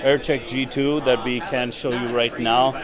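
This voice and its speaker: A man's voice: medium pitch, little pitch movement, a little slow. A man giving an informal, explanatory product demonstration as a company representative. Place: indoor tech conference event. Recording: busy, noisy conference hall crowd. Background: crowd chatter.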